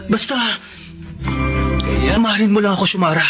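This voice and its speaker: A man's voice: high voice, normal speed, natural voice. An actor playing an earnest, lovesick and jealous young man in a Tagalog radio drama. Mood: pleading. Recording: loud music bed under voice.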